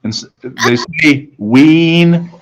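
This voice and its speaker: A man reading a children's storybook aloud in a dramatic manner, with deliberate pauses. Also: on the high side, dull audio. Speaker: storybook reader.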